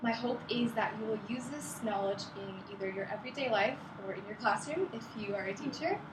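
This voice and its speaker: A woman's voice: a little high, fairly quick, reverberant, noisy background. A young woman giving an informative speech to her class, earnest and reading prepared points with casual fillers.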